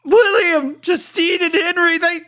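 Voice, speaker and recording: A narrator acts out a guilt-ridden gentleman scientist in a gothic storybook, his voice pushed high and loud. He declaims in long, drawn-out phrases, frantic and despairing. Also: fairly even intonation, exaggerated voice. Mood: grief-stricken, tearful. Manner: theatrical, drawn-out, impassioned declaiming.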